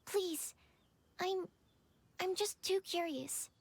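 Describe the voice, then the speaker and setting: A woman's voice: extremely high pitch, takes it slowly, full character voice. An actress voicing an earnest, curious young woman studying alchemy, asking politely for help. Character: eager young woman alchemy student, inquisitive.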